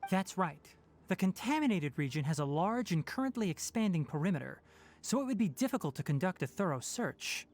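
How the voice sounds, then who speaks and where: A calm, scholarly young forest ranger. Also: male voice, high voice. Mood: reasoned. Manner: measured, analytical, matter-of-fact. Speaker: forest watcher. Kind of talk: game cutscene voice acting.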